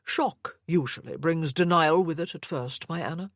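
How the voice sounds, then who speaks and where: A woman reading the part of an obsessive older gentleman who has kidnapped his supposed daughter. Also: sits low, moderate pace, no echo, clean studio sound. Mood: smooth.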